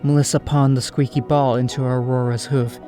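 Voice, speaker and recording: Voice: medium pitch, little pitch movement, normal speed. A narrator reading scripted storybook prose for an audio drama, over music.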